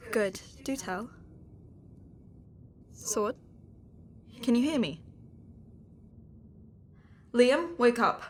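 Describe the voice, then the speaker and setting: A woman plays a composed female investigator of the supernatural in an audio drama. Her voice is fairly high, caring yet authoritative, over a faint bed of ambient sound. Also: lively intonation, extremely slow, long pauses, darkish tone, slight background noise. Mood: urgent.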